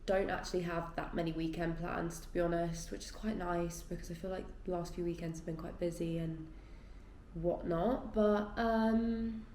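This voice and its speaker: A woman talks to the camera in a relaxed, rambling way from her flat, her voice sitting on the low side. She sounds a little tired and bunged up. The room is echoey, and a faint hum sits underneath. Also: moderate pace. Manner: chatty and rambling, lots of "like" and "yeah". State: a bit tired and stuffy. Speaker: vlogger. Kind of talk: casual chatty vlog talk.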